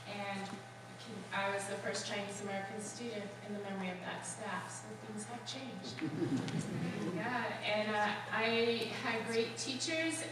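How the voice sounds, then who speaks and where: A woman superintendent on a school panel, telling her own story with many small pauses. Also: medium pitch, noisy background. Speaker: superintendent principal. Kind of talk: panel remarks. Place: school hall. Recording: echoey hall, distant mic.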